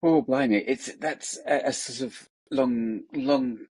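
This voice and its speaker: A man's voice: medium pitch, slow, darkish tone, husky. A man being interviewed over a video call, talking his way through his answers with plenty of hesitations and filler phrases. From England. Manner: hesitant, many fillers and "sort of". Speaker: guest author and illustrator.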